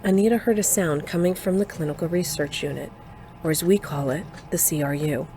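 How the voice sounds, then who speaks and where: A woman's voice: low voice, brisk, dark tone, faint music behind. A woman playing a plain-spoken, dryly humorous older narrator telling her adventures in an audio drama. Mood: dry storytelling. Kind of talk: scripted first-person narration in audio drama.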